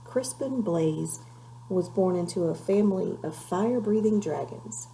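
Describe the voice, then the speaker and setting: A woman reading a children's storybook aloud in an expressive, measured way, pausing for dramatic effect. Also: fairly low pitch, creaky, slight background noise, low-quality recording. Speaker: storybook reader.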